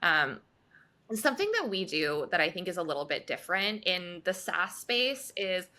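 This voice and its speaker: A woman speaks as a podcast guest, explaining her ideas in an articulate, upbeat way. Her pitch moves a lot and her voice is bright. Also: lively intonation, normal speed. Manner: articulate, upbeat, laughs lightly. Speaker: guest, reliability advocate at a software firm. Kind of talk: conversational interview answers.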